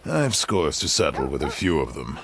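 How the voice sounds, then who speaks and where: An actor playing a big, gruff, cocky Mexican trapper with a wry, unhurried delivery in a western video game. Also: male voice, very deep voice, sing-song, fairly bright tone, a little hiss or hum, heavily acted. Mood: wry, scheming. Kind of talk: video game cutscene acting.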